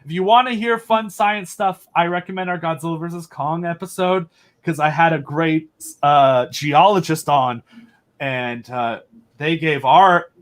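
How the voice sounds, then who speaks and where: Higher-pitched man chatting casually. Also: measured pace. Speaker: podcast guest, audio drama creator. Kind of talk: casual enthusiastic chat.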